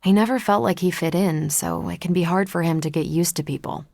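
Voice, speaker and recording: A woman's voice: on the low side, fairly quick, creaky, darkish tone, no echo, clean studio sound. A woman voicing a confident, wry, brash military superhero in casual banter for a video game. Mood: serious, thoughtful.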